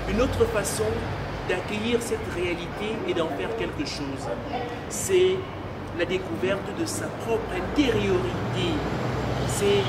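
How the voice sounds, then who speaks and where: A middle-aged man, a priest, giving a slow, earnest and meditative personal testimony outdoors by a cathedral forecourt. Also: sits high, far from the mic, heavy background noise.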